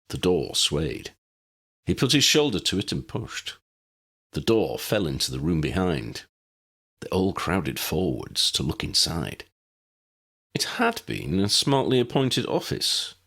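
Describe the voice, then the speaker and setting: Deep-voiced, husky man narrating a story. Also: a bit bright. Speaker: audiobook narrator reading fan fiction.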